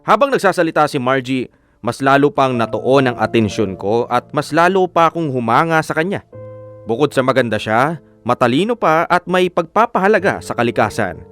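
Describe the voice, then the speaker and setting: Radio drama narrator, smitten, first-person storytelling, mid-pitched, soft guitar backing. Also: male voice, very animated, normal speed. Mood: admiring. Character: smitten man narrating his own story of admiration. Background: plucked guitar music.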